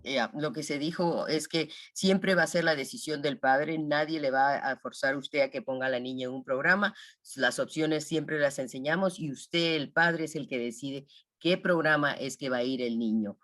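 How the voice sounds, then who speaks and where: A man interprets for parents at a public meeting in an explanatory style. His pace is brisk and his voice sits at a medium pitch, recorded close and free of echo. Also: fairly bright tone. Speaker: interpreter for a school Q&A.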